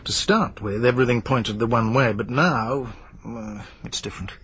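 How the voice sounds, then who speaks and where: An actor playing a persistent, measured police inspector in a radio drama. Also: male voice, mid-range voice, a bit bright, slightly rough. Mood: earnest report. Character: dogged, skeptical police inspector Narracott.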